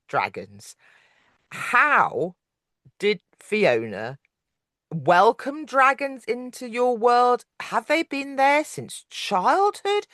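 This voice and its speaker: Lively, chatty woman podcast host. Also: on the high side, no room sound, clean studio sound. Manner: chatty, rambling, trails off mid-thought. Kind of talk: casual podcast interview.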